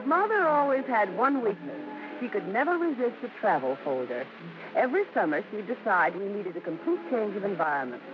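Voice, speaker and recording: An actress playing a daughter who narrates fond family memories in a radio drama, with a wry storytelling lilt. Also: female voice, mid-range voice, sing-song, fairly quick, loud music behind the voice, close to an everyday voice. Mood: fond, amused. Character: daughter fondly narrating childhood memories of her showbiz parents. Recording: noisy, dull vintage radio recording.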